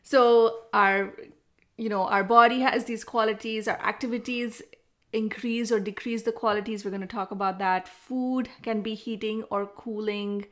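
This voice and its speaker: High-pitched woman hosting a podcast. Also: steady tone, moderate pace. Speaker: podcast host, Ayurvedic practitioner. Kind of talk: podcast introduction and interview.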